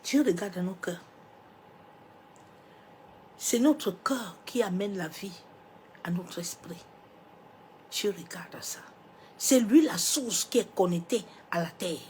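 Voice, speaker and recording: A woman pastor with a cold, preaching a sermon. She swings from loud, emphatic declarations to soft, near-whispered asides and drops little rhetorical interjections as she goes. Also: mid-range voice, lively intonation, takes it slowly, long pauses, slight background noise. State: has a cold, coughing.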